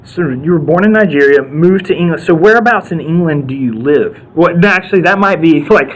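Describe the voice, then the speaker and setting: A man's voice: on the high side, animated, fairly quick, low-quality recording. A man hosting an interview, rambling and joking playfully with lots of fillers. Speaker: interview host. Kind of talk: casual interview chat.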